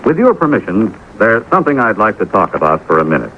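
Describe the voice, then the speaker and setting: Animated American radio announcer on old recording. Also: male voice, fairly low pitch, quick pace. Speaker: radio announcer giving public service message. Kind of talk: 1950s radio scripted announcement, folksy. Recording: dull, slightly noisy old radio recording.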